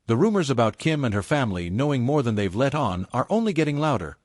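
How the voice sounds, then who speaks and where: A man's voice: mid-range voice, sing-song, quick pace, a little mellow, no room sound, clean studio sound. A man reading scripted celebrity gossip narration in a dramatic, insinuating storytelling manner.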